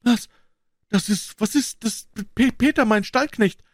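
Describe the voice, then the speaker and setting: A man voicing a desperate, frantic man rushing to a rescue, in a strained, high shouting voice. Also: no room sound, clean studio sound.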